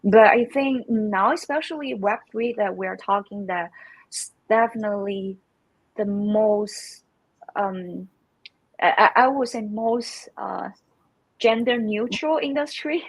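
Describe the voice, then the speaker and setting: A woman whose first language is Chinese talks as a guest on an online call. Her voice is slightly husky and sits at a middle pitch, dry with no room sound. She speaks haltingly, restarting and repeating words as she goes. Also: no echo, poor audio. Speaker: guest, community manager. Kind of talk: casual panel chat.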